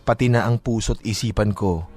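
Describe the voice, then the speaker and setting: A man's voice: on the low side, normal speed, music in the background. A man with a Filipino accent, a radio host reading a listener's letter as dramatic narration.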